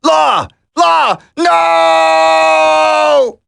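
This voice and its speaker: A man's voice: very high voice, very animated, very slow, creaky, a bit bright, dry recording, raised voice, clean studio sound, heavily acted. A man voicing an earnest special forces soldier in a video game, dramatic and urgent. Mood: anguished. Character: earnest male special forces soldier, determined, worried for comrades.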